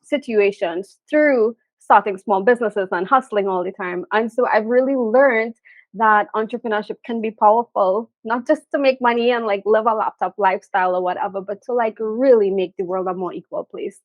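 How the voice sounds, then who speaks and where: A woman from Trinidad with a Trinidadian accent, asking a business question in a candid, halting way with many fillers. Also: mid-range voice, no room sound, lo-fi sound. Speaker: guest asking for business advice. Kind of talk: conversational interview question.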